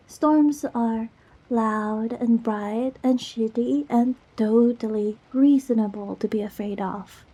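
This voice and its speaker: A woman acts out a sweet, goofy young girlfriend in an intimate ASMR roleplay. Her voice is high and breathy with a very mellow tone. She talks slowly, rambling playfully as she reassures her partner with tender affection. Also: exaggerated voice. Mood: tender, reassuring.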